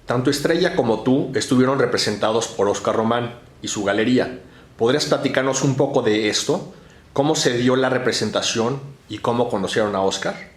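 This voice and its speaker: A man hosting an interview asks his questions politely and at an even, measured pace. His voice carries a clear roominess, as if he were recorded in an echoey space. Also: medium pitch. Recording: roomy echo.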